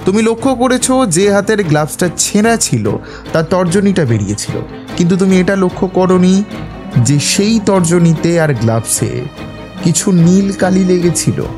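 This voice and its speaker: A man's voice: sits high, lively intonation, moderate pace. A man playing a self-assured, analytical detective in an audio drama, explaining things in an assured, instructive way. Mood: confident, explanatory. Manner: assured, didactic, explaining deductions step by step. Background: prominent background music.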